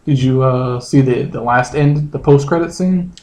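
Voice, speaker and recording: A man's voice: mid-range voice, normal speed, a little mellow. Guy co-hosting a comedy podcast, regular mid voice, chatting casually, offhand crude jokes. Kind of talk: casual banter and short reactions.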